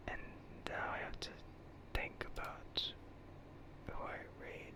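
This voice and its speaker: A livestream host speaking in a soft breathy whisper, chatting in a rambling, hesitant, self-deprecating way in ASMR style.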